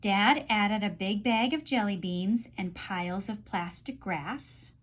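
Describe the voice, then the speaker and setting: A woman reading a children's storybook aloud in an expressive storytelling style, letting phrase endings drop softly. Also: mid-range voice, slow pace.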